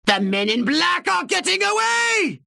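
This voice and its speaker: A man playing a pompous, sarcastic, irritable alien commander in a video game, with a shrill raspy voice and theatrical delivery. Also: very animated, unhurried, bright, forceful tone, raised voice, exaggerated voice. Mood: angry, alarmed. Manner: pompous, haughty, melodramatic, sarcastic. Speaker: mission-briefing commander.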